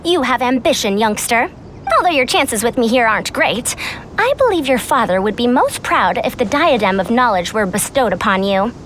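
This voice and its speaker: A woman's voice: a little high, big pitch swings, moderate pace, a bit bright, slight background noise, poor audio. A woman voicing a proud senior scholar in a video game, with a youthful voice and formal, old-fashioned speech. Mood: measured, curious. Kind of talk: scripted video game character acting.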